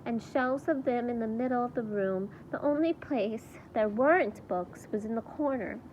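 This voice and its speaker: A woman reading a children's book aloud in an expressive storytelling style. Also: a little high, moderate pace, slight background noise, rough recording. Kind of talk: read-aloud storybook narration with character voices.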